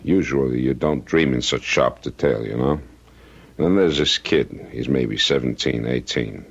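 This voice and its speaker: A weary veteran policeman in a radio drama, with an extremely low voice full of gravel. He is middle-aged, stubborn and plain-spoken, husky at the edges. The recording is dull and lo-fi. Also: normal speed, full character voice. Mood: weary, brooding. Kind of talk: old-time radio drama acting.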